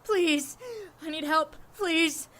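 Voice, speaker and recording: A woman's voice: sits high, slow, fairly bright tone. A woman acting a frightened, desperate fugitive pleading for help in an audio drama.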